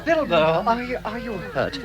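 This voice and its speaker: An actor playing a wise, kindly, commanding old wizard, theatrical and avuncular, in a vintage radio drama. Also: male voice, high voice, sing-song, measured pace, muffled recording, over music. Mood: concerned, urging. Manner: theatrical, avuncular, self-assured.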